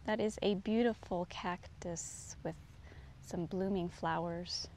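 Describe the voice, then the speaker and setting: A woman's voice: on the low side, steady tone, slow pace, a little mellow. A middle-aged woman vlogger casually narrating her walk along an outdoor forest trail, pointing things out as she goes. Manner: easygoing, descriptive, points things out as she walks. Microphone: handheld camera, often at a distance. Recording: faint outdoor noise.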